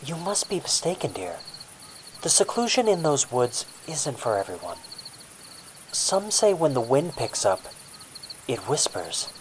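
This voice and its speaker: Narrator putting on a soft, eerily polite older woman. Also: mid-range voice, sing-song, unhurried, pauses often, a little hiss or hum, voice pushed up, poor audio. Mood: calm, eerie. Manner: soft, polite, condescendingly sweet. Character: calm, polite older woman, eerily pleasant cabin owner.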